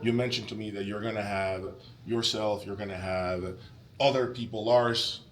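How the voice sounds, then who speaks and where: A man's voice: lowish voice, fairly even intonation, normal speed, a little hiss or hum. A man hosting a casual video interview, talking to his viewers in a conversational, opinionated way. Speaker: host interviewer of an audio channel.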